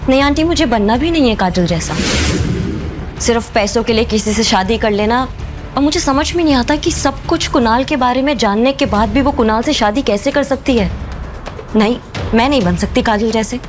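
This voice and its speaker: An emotional, defiant young daughter acted by a woman in an audio drama. Her very high voice is earnest and emphatic, with music clearly playing behind it. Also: moderate pace, natural voice. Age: young adult. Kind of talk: Hindi audio drama acting.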